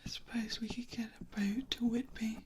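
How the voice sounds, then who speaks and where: Whispering gentle vampire woman acting it out, noisy close mic. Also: a little high, level tone, lightly acted. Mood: hushed, cautious. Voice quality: breathy, whispered. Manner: slow, hushed, tenderly confiding. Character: gentle female vampire, ageless, wary of humans, protective. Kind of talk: soft-spoken ASMR roleplay. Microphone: close ear-to-ear ASMR microphone. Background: noise, clicking and picking sounds.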